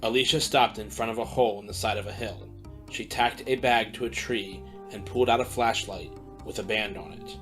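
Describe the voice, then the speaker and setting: A man narrating a fantasy audio drama in a steady, descriptive storytelling manner. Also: fairly low pitch. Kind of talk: scripted narration.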